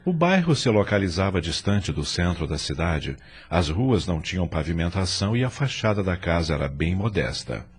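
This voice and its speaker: A man's voice: low voice, sing-song, normal speed, few pauses, breathy edge. A man with a Brazilian accent giving scripted, dramatic narration for an audio novela.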